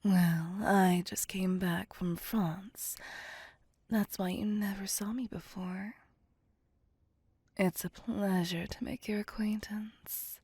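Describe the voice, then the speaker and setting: Woman playing a slow, breathy seductive vampire. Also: medium pitch, fairly even intonation, dark tone, no echo. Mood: mock offended. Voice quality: breathy, whispery. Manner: slow, teasing, flirtatious. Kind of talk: ASMR roleplay.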